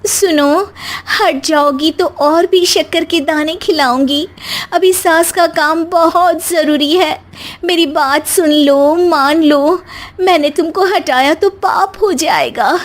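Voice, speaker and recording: A woman's voice: extremely high pitch, slow pace, pitched above the performer's own voice. A woman voicing a pious, naive young daughter-in-law who pleads and moralizes in a comic moral story. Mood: desperate pleading. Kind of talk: Hindi moral comedy storybook cartoon acting.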